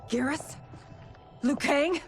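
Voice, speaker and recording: A woman's voice: high voice, slow pace. A woman playing an earnest, regal warrior queen in a video game cutscene, emotional yet formal, wistful and dutiful. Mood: confused. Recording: loud music behind.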